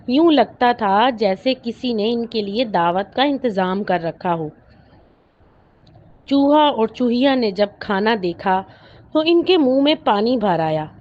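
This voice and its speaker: A woman's voice: medium pitch, darkish tone. A woman narrating a scripted children's story as a storyteller. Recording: dull lo-fi audio with clear noise.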